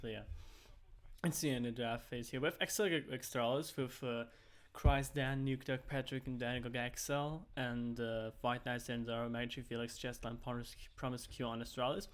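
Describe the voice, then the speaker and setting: German-native young man casting games casually. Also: mid-range voice. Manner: rambling, heavy use of "like" and restarts. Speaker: amateur esports caster. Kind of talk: casual solo esports commentary.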